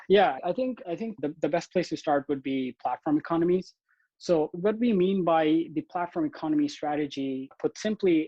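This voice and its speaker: A man's voice: fairly high pitch, warm tone, dry recording, muffled recording. A young man from Bangladesh, a venture investor, talking casually in a podcast interview and often restarting his phrases.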